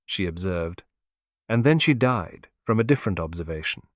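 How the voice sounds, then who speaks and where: A man voicing a refined, philosophical foreign detective in a detective audiobook, speaking gently, precisely and deliberately. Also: low-pitched, expressive, dry recording, clean studio sound, pitched below the performer's own voice. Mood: gentle, grave.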